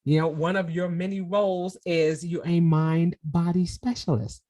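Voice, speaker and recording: A middle-aged woman hosts a relaxed podcast chat, her voice sitting a little low. She talks loosely and warmly agrees with her guest, circling back with repeated words and frequent "you know"s. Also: normal speed. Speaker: podcast host. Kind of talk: casual conversational interview.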